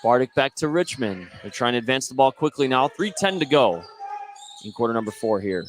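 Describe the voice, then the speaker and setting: A man's voice: medium pitch, animated, a little fast, slight background noise. A man doing live play-by-play radio commentary of a basketball game.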